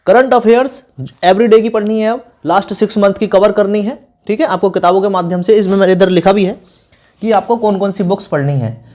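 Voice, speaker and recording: Online coaching teacher, middle pitch, instructive and repetitive, informal advice delivery. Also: fairly quick, darkish tone. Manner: repetitive, instructive, frequent check-in tags. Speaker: online exam-prep teacher.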